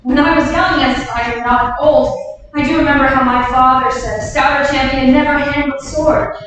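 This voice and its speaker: Young, gentle-tempered monarch played by a woman, high voice, regal stage declamation, picked up far off in a booming room. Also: fairly even intonation, normal speed. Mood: gracious. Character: young king of England, gracious and peaceable. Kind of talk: staged reading of Shakespearean verse. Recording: echoey hall from far off, faint noise.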